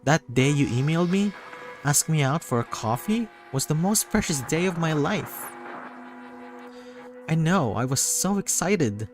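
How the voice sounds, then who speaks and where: A man voicing an excitable, affectionate young female superfan in a visual novel, gushing and emphatic. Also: fairly high pitch, unhurried, pitched above the performer's own voice. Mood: gushing, adoring. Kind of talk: visual novel character acting. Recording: music behind the voice.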